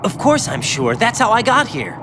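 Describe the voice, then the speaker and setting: A calm, patient young rescue operative in a cinematic video game. He is earnest and coaxing, reassuring like someone guiding a frightened person to safety. Noisy watery ambience runs clearly behind him. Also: sits high, moderate pace.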